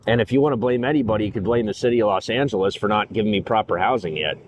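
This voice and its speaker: Man, low voice, casual livestream chat, rambling, filler-heavy, outdoors, noisy street with traffic. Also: racing. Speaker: streamer.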